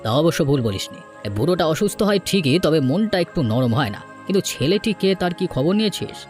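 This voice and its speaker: A man voicing a scheming, bossy older village headman in an animated folk tale, with a brusque, dismissive manner. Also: fairly high pitch, brisk, soft background music.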